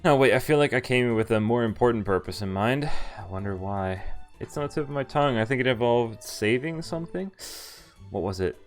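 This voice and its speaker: A man's voice: medium pitch, animated, brisk. A man streaming a visual novel, reading its dialogue aloud and commenting casually. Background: game music.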